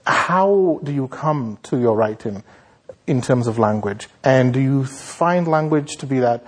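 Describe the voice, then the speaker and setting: A man's voice: mid-range voice, expressive, measured pace, breathy edge. A man from Nigeria interviewing a writer, asking long, searching questions with frequent restarts. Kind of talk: conversational interview.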